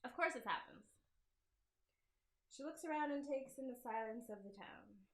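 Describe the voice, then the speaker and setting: A woman's voice: on the high side, animated, normal speed, roomy sound. A woman doing a casual, giggly let's-play of a visual novel, switching between informal self-deprecating asides and reading the story text aloud. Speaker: game creator playing through own visual novel.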